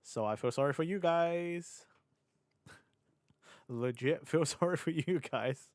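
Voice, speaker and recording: A young British man streaming live, rambling and laughing as he chats with his audience, his voice a little hoarse from hours of talking. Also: on the high side, no room sound, clean studio sound. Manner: chatty, scattered, quick to laugh. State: slightly hoarse. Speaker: VTuber streamer. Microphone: desk mic on a boom arm.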